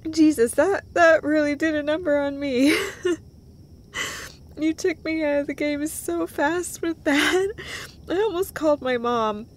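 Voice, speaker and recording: A woman performing an intimate comfort roleplay as an affectionate girlfriend, speaking tenderly and emotionally in a halting way that often drops toward a breathy whisper. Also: sits high. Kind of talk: intimate comfort roleplay, acted as one side of a conversation.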